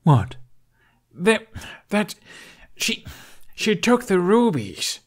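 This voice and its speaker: A man's voice: high voice, wildly swooping pitch, drawn-out words, voice pushed up, exaggerated voice. A man voicing an angry, waspish young Viking adventurer in an audiobook, with biting, flustered outbursts. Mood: frantic, shocked.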